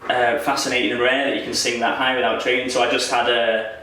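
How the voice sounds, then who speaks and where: A young man talks quickly in a loose, rambling way, often poking fun at himself and laughing. His voice sits at an ordinary pitch but comes from some distance off the mic, and it bounces around a very echoey room. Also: level tone, sharp tone. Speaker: wrestler interviewee. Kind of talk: casual interview chat.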